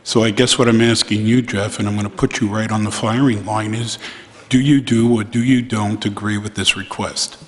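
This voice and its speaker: A middle-aged man speaking formally and deliberately as he chairs a board meeting. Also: fairly low pitch, fairly even intonation. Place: large indoor council chamber. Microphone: gooseneck microphone on dais. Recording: reverberant meeting hall, faint noise.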